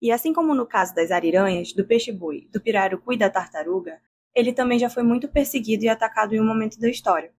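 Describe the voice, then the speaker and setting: A woman co-hosting a podcast, smoothly reading scripted narration. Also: a little high, fast talker, a little mellow, slight background noise. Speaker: podcast co-host.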